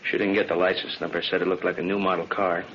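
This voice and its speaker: A man's voice: low voice, level tone, fast, close to an everyday voice. A man playing a no-nonsense police captain in an old radio drama, giving a briefing in clipped, factual phrases. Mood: grim, factual. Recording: dull, faintly noisy old radio recording.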